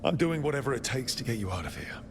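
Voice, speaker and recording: An actor playing a steadfast, reassuring heroic companion and leader in a video game, earnest and persuasive. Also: male voice, mid-range voice, animated, fast talker, background noise, lightly acted. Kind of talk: dramatic video game acting. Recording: music behind the voice.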